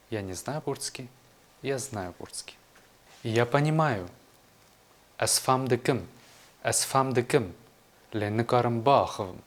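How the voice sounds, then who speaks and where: A man giving a language lesson, measured and clear, saying each phrase and then translating it. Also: fairly low pitch. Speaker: language teacher. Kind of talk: scripted video lesson.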